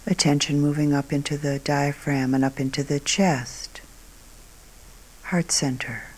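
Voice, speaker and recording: Woman leading a guided meditation, really slow and calm, soft kinda breathy voice, lots of pauses. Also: low voice, slight background noise. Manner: calm, unhurried, short phrases with long pauses. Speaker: meditation teacher.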